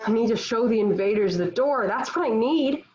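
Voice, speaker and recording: A woman's voice: fairly high pitch, lively intonation, moderate pace, a little mellow, slight background noise, close to an everyday voice. A woman playing a defiant, devout young warrior held captive, with solemn, declamatory phrasing in a table read of a historical drama, on a dull lo-fi recording. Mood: fierce. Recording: dull, lo-fi home online recording.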